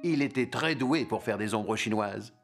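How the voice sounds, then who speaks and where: A man narrating a children's cartoon as a wry, playful storyteller with expressive delivery. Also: fairly low pitch, normal speed, over music, natural voice.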